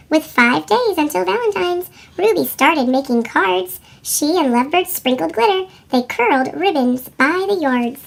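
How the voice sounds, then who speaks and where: A woman reading a children's picture book as storyteller, with a squeaky chipmunk voice effect. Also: creaky. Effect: sped-up chipmunk pitch shift. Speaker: read-aloud storyteller for children.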